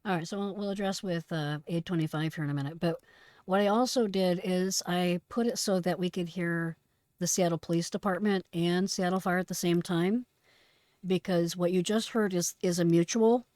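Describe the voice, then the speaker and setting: Woman, lower register, dry close sound, conversational explainer walking listeners through procedures. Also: fairly even intonation, normal speed, darkish tone, no room sound. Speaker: retired dispatcher presenting radio traffic. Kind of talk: casual explanatory commentary.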